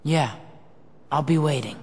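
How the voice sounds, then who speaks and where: A man's voice: a little high, a little slow, long reverb tail, very noisy, lightly acted. An actor playing a moody, confused teenage boy hero in a video game, terse, emotional and challenging. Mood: subdued. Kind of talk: dramatic video game voice acting.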